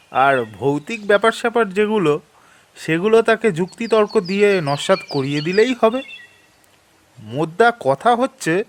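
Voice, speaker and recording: Man acting eager, coaxing friend, fairly high voice. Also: a little hiss or hum. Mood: eager, persuasive. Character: eager, persuasive young male friend, breezily sceptical of ghosts. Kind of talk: audio story dramatic dialogue.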